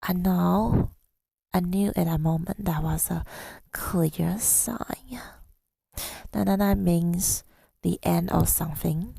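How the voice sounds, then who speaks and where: A woman speaks very slowly in a soft, intimate ASMR murmur, with heavy vocal fry through her words. Her voice is mellow and affectionate, husky and often near a whisper. She is close to the mic, as if confiding in a partner. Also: fairly low pitch, dry recording. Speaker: ASMR audio creator. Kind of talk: intimate ASMR girlfriend roleplay, telling about the day. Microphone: close microphone, dry sound.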